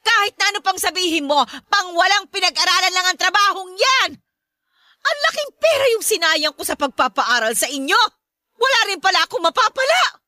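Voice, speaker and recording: A woman's voice: extremely high pitch, animated, brisk, pushed and bright, dry recording, clean studio sound, full character voice. An actress playing a harsh, belittling Filipino mother in a radio drama, scolding and demanding. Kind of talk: Tagalog radio drama acting.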